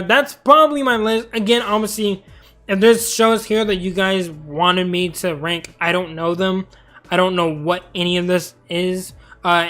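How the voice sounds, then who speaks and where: High-voiced tired young man streaming. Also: a bit bright. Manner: rambling, talkative. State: tired, losing his voice. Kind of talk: casual live-stream commentary.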